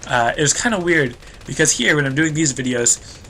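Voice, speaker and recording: Bright-voiced rambling young gamer guy. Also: fairly high pitch, animated, normal speed, a little hiss or hum. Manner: rambling, loses train of thought, self-deprecating. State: stuffy nose, voice a bit worn. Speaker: let's play host. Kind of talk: rambling gameplay commentary.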